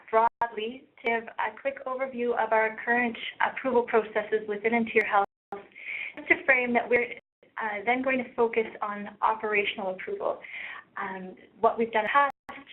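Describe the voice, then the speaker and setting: A soft-voiced woman with a Canadian accent giving a webinar presentation over a thin conference line. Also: fairly high pitch, narrow, tinny audio. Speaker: presenter, research practice lead.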